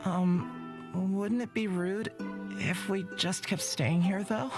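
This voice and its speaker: A woman's voice: medium pitch, fairly even intonation, slow pace. A woman voicing a timid, polite boy in a fantasy game, meek and hesitant, with music behind. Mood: meek, unsure.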